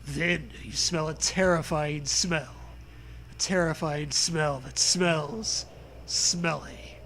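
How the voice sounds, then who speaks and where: A man's voice: fairly high pitch, fairly even intonation, slow, a bit bright, slight background noise. A man co-hosting a comic dramatic reading of a horror story, with crude, jokey asides. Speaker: co-host, reader.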